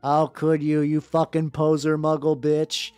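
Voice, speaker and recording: Lady putting on a sulky dramatic teen wizard guy, voice pushed lower, accusing, fanfic. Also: monotone, a little slow, a little mellow, clean tone. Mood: anguished, accusing. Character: anguished, accusing teenage wizard boy. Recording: dry close sound with music behind.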